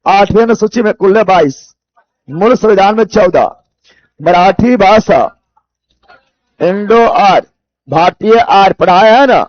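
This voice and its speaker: A man's voice: high-pitched, a little slow, pauses often, no room sound, lo-fi sound. A man from Bihar with a Bihari accent teaching an exam coaching class, repeating key phrases and quizzing his students as he goes. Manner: repeats key phrases, asks students rhetorical check questions. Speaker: teacher for competitive exam general knowledge classes. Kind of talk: live coaching lecture, drilling by repetition.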